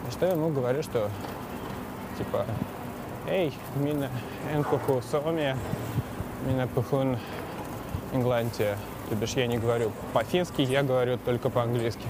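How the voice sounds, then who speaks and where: Rambling man talking outdoors over loud traffic. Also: medium pitch, fairly even intonation, normal speed, pauses often. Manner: rambling, many hesitations. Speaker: podcast host. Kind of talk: casual monologue while cycling outdoors. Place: city street outdoors. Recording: loud street traffic noise. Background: traffic and city sounds.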